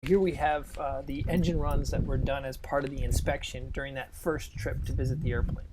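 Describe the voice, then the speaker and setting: A man narrating a flight video as a test pilot, in an unscripted, technical explanatory style with halting pauses. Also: mid-range voice, steady tone, heavy background noise.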